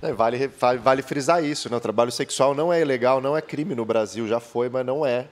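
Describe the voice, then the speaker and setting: A man moderates a talk on stage at a book fair. His voice sits at a typical middle pitch. He explains points in a steady, measured way and relays the audience's questions. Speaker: interviewer and columnist.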